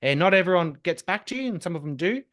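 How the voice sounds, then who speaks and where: A young man, a podcast guest chatting casually and telling lively stories. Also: high-pitched. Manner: lively, quick storytelling with laughs and restarts. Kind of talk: casual conversation.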